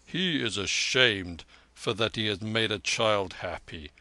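A man voicing an old, gruff and hearty veteran soldier with blunt, archaic speech in a storybook reading. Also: lowish voice, slow pace, bright, forceful tone, no echo, exaggerated voice. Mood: teasing.

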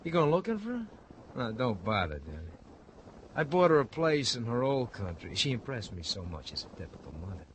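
An American actor playing a slick, mocking hoodlum turned respectable, florid and falsely friendly, in an old radio crime drama. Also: male voice, mid-range voice, big pitch swings, fairly quick, natural voice. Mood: sly, taunting. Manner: florid, theatrical, drawling repetitions, needling chuckles. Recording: noisy vintage radio broadcast.

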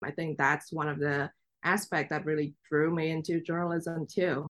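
A woman's voice: deep voice, little pitch movement, moderate pace, a bit bright. A young woman from Vietnam whose first language is Vietnamese, telling her story in a chatty, reflective way with light laughs. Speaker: guest journalist. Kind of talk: casual interview talk.

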